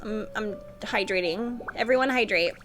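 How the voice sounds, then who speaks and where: Casting: young female game streamer, bright forceful voice at average pitch, casual expressive reactions. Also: moderate pace, faint music behind. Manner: expressive, exclamatory. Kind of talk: casual live reaction commentary.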